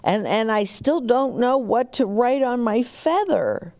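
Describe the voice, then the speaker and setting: A woman reading as a worried young schoolboy from a storybook, halting and unsure. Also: fairly high pitch, animated, a little mellow. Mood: sad.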